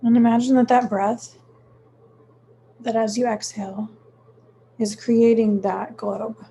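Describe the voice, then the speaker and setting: Slow, mellow woman guiding a meditation. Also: fairly high pitch, level tone, a little hiss or hum. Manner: soothing, unhurried, with frequent pauses. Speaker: meditation guide. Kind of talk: guided meditation, gentle instruction.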